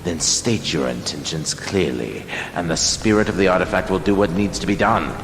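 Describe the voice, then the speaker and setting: A man's voice: deep voice, normal speed, fairly bright tone, soft background music. A man playing a commanding, intense mystic mentor in a game cutscene, with portentous, dramatic delivery in a cavernous, noisy recording. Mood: solemn, instructing. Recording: cavernous long echo with heavy noise.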